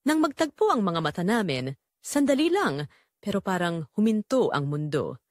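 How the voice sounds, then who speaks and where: A woman narrating as a guilt-ridden, secretly longing mother in a scripted audio drama, with a measured, confessional delivery. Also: medium pitch, very animated, no room sound, studio quality, natural voice. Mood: guilty, wistful.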